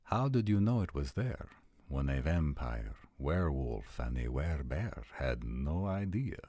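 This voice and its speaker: Man as a stern vampire noble, extremely low voice, slow formal delivery, suspicious, audio drama. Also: big pitch swings, mellow, dry recording, clean studio sound, heavily acted. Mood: suspicious, demanding. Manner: measured, deliberate, formal. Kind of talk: audio roleplay drama acting.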